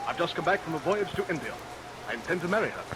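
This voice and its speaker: An actor playing a hearty, courteous young sailor in love, gallant and earnest, in vintage radio drama. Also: male voice, on the high side, lively intonation, fast, lightly acted. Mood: hearty, warm. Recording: hissy vintage radio recording with rain.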